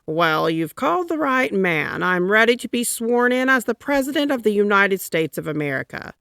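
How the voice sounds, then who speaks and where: A woman's voice: medium pitch, sing-song, normal speed, vocal fry, bright, forceful tone, clear, resonant tone, no echo, clean studio sound, pitched above the performer's own voice, heavily acted. A woman voicing a self-important, eager male vice president in a storybook, sounding self-assured.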